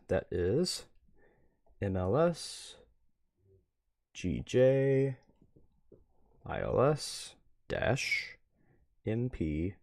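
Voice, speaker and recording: A man doing casual live commentary as a streamer, talking in a slangy, excitable way with mild substitute swears. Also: fairly low pitch, no room sound, clean studio sound. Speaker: streamer reacting to videos.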